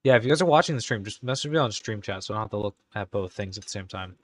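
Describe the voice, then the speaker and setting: A man's voice: lowish voice, expressive, racing, a little hiss or hum. Young man streaming in a put-on Irish accent. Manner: putting on an Irish accent that slips; casual, muttering while typing. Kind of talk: live-stream chat while writing game commands.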